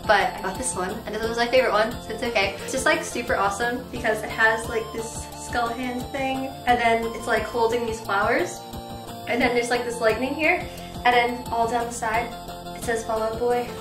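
A young woman vlogging casually, rambling and bubbly, a bit sick with a slightly deep voice, recorded by a camera across a small bedroom. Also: steady tone, normal speed, music in the background. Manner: bubbly, rambling, lots of "like" and "really". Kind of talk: casual haul video. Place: small bedroom with bare walls. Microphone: camera mic from across the room. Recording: roomy echo, voice a bit far from mic.

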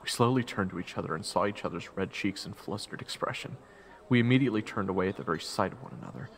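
A man's voice: lowish voice, fast, close to an everyday voice, low-quality recording. A man playing a young fantasy hero who narrates his adventure reflectively in the first person. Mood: descriptive.

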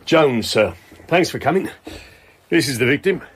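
An actor playing a chatty, deferential police sergeant in a radio drama. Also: male voice, mid-range voice, expressive, moderate pace, a bit bright, breathy edge. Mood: deferential, brisk.

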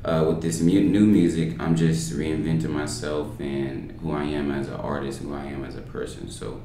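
Very deep-voiced young man. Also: normal speed, a little hiss or hum. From Kansas City, Kansas. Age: young adult. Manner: relaxed, loose, filler-heavy speech. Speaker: guest rapper. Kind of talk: casual podcast interview. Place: library study room, indoors. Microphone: desktop microphone. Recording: echoey room.

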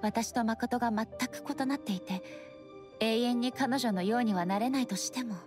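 A woman's voice: a little high, music in the background, lightly acted. Dignified, composed goddess ruler played by a woman. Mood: solemn, composed. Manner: formal, measured, calm. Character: dignified female ruler deity, composed, solemn, gentle. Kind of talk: Japanese anime-style game voice acting.

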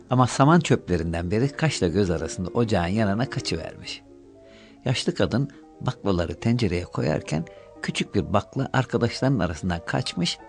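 A man's voice: on the low side, gravelly. A man narrating a scripted children's fairy tale as a storyteller, with music behind him.

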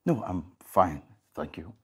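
Earnest, hesitant male drama lead, low register with very lively intonation. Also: breathy edge, full character voice. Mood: calm, persuasive. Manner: hesitant, halting, repeats phrases. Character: earnest, apologetic, embarrassed man caught between women. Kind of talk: naturalistic screen drama acting.

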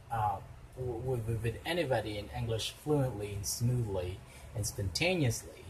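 Slow-talking man with a headset. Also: fairly high pitch, slight background noise, poor audio. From Philippines. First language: Ilonggo. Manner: explains at length, then bursts into excited exclamations. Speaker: reaction video host. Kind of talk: casual reaction commentary. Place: small room indoors.

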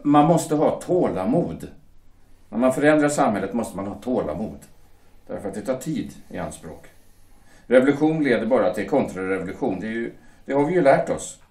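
A man's voice: mid-range voice, big pitch swings, darkish tone, close to an everyday voice. A man playing an earnest young shipyard worker in a radio play, deferential and prone to stammering. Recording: echoey room, distant mic.

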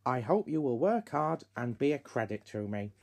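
A man voicing a kindly, authoritative railway boss with a formal, measured manner in a children's story. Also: medium pitch, big pitch swings, creaky, a little mellow.

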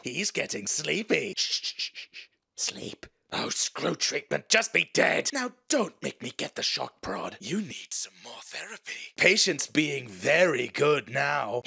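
Gravelly, wildly swooping deranged orderly. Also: male voice, high voice, bright, forceful tone, heavily acted. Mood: mock-soothing. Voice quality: raspy, gravelly. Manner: mock-caring, menacing, theatrical. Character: deranged, sadistic asylum orderly, mock-soothing then shrieking. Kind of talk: video game voice lines.

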